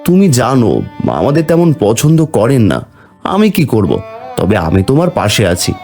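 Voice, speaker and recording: A man playing a gentle, apologetic young husband and dutiful son in a cartoon folk tale, soft-spoken and earnest. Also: medium pitch, wildly swooping pitch, unhurried, soft background music. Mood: consoling, earnest. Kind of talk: Bengali cartoon fairy-tale voice acting and narration.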